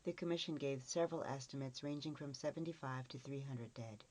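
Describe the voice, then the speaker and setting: A woman's voice: extremely low pitch, a little fast, a little mellow. A woman reading a scripted encyclopedia article as a narrator.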